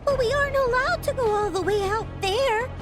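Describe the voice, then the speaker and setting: A woman's voice: very high voice, fairly quick. A woman voicing an earnest small child with a simple, childlike way of talking. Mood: earnest, eager. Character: earnest small child looking for a lost pet bird. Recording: music behind the voice.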